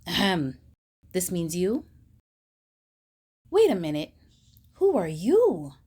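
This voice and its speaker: A woman reading a children's picture book aloud, slowly and expressively, with mock-stern emphasis. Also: on the low side, wildly swooping pitch, mellow, husky. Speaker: storybook reader.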